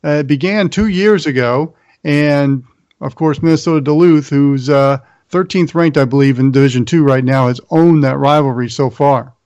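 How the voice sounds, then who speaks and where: A man's voice: a little high, moderate pace, a little mellow, no echo, muffled recording. An American man hosting a sports show, running through college football news and previews. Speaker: sports radio show host. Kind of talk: sports round-up, partly scripted narration.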